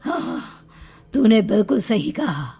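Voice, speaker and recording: A vengeful witch of a housemaid, put on by a woman narrator, pitched up and full of menace. She speaks emphatically and defiantly in a horror cartoon, with music behind her. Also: sing-song, slow, mellow, breathy edge, heavily acted. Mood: bitter, defiant. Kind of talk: Hindi animated horror story acting.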